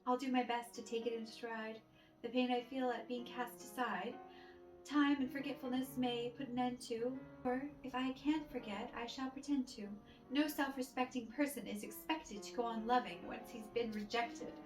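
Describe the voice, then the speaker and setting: A woman's voice: a little high, steady tone, moderate pace, distant mic, close to an everyday voice. A woman voicing a proud, wounded young man in theatrical rhyming verse, as in a storybook play. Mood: wounded pride. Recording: roomy echo with music behind.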